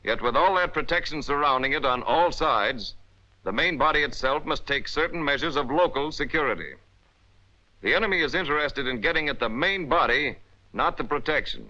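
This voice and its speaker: A man's voice: a little high, normal speed, sharp tone. An American man narrating a 1940s military instructional film in an emphatic, instructional manner with clipped directives. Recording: dull, band-limited 1940s film soundtrack.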